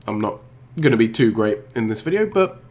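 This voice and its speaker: A man's voice: fairly low pitch, very animated, brisk, a little mellow. Stuffy-nosed man, rambling vlog. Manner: rambling and hesitant, many ums and restarts. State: blocked nose from a cold. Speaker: YouTube gaming channel host. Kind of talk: casual gaming news vlog.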